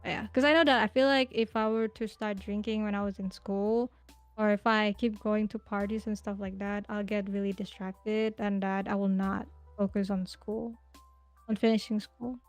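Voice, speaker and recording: A woman's voice: medium pitch, steady tone, normal speed, faint music behind. A woman in her twenties, a podcast guest chatting casually, telling rambling stories with lots of fillers and giggles. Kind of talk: casual interview chat.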